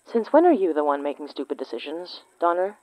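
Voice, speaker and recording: A woman's voice: sits low, very animated, a little fast, mellow, dry recording, poor audio. An actress playing a wry, concerned female investigator in an audio drama, taking a colleague's statement in a dry conversational way. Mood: concerned, chiding.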